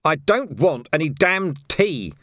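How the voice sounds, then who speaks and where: A man's voice: a little high, very animated, fairly quick, a bit bright, no room sound, clean studio sound, voice pushed up. A man voicing an irritable, weary watch commander in an audiobook, blunt and exasperated. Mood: angry. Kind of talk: audiobook character voice.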